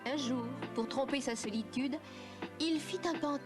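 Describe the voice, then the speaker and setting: A woman storyteller giving an expressive scripted narration for children. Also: high voice, moderate pace, music in the background.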